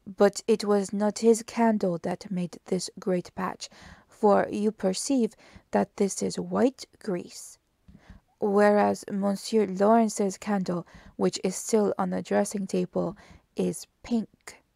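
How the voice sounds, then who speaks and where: A woman voicing a precise, courteous, self-assured male detective with formal, deliberate phrasing in an audiobook. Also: medium pitch, steady tone, creaky, warm tone, no room sound. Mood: methodical, explaining. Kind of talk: audiobook character dialogue.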